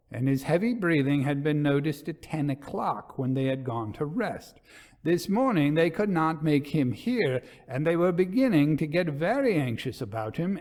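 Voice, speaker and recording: A man voicing a grave colonel who recounts a ghost story in a formal, deliberate, suspenseful way. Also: fairly high pitch, a little mellow, pitched above the performer's own voice, natural voice. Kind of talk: dramatic reading of an old ghost story.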